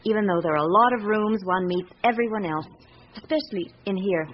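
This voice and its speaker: An actress with a British accent playing a candid, observant secretary in a radio drama, speaking in a measured, confiding way. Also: female voice, medium pitch, lightly acted. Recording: muffled radio recording with background hiss.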